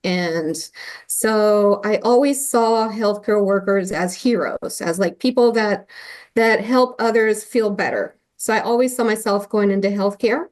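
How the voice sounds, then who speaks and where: Female interview guest, originally from Colombia, mid-pitched, earnest and chatty, lots of fillers. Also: measured pace. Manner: warm and earnest, with many fillers and restarts. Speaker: guest, nurse anesthetist. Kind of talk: conversational interview.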